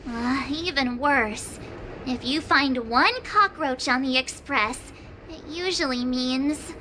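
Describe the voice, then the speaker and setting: An actress playing a playful young woman adventurer in a video game, animated and cheeky. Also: sits high, takes it slowly, slight background noise, full character voice. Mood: worried. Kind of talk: game voice acting.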